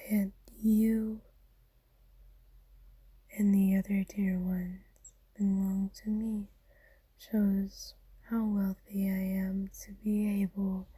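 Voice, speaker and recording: A woman playing an ancient, wealthy vampire, a kind but possessive owner, in a soft, soothing whispered ASMR roleplay with formal phrasing. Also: medium pitch, husky, no room sound, low-quality recording.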